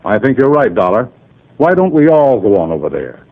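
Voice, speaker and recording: A man's voice: fairly low pitch, very animated, normal speed, vocal fry, heavily acted. An actor playing a stiff, indignant, older businessman in a 1950s radio drama. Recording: tinny old radio broadcast.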